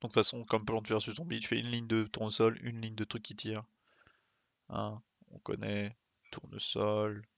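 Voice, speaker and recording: Male game streamer, lowish pitch, dry sound, casual muttered running commentary. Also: monotone, normal speed, creaky, a bit bright, dry recording. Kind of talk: casual live gameplay commentary.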